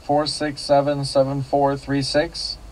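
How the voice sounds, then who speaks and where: A man's voice: medium pitch, completely flat delivery, a little slow, darkish tone, poor audio. A man hosting a local radio classifieds call-in show, politely repeating details back. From United States.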